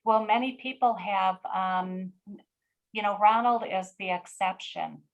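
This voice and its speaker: A woman's voice: medium pitch, slow pace, rough recording. A woman with a Chicago American accent, speaking conversationally as a co-presenter. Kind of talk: conversational interview.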